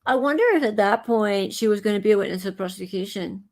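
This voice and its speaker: Creaky-voiced Australian woman. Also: medium pitch, fast. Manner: informal, musing aloud. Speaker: livestream host and commentator. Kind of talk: casual live commentary chat.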